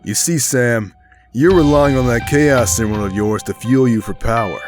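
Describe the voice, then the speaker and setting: A cold young male rival fighter acted by a man in an audio drama, in a medium-pitched voice. He taunts and commands with total confidence. Soft music sits behind him. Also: normal speed, close to an everyday voice. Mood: scornful. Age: young adult. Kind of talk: amateur audio drama acting.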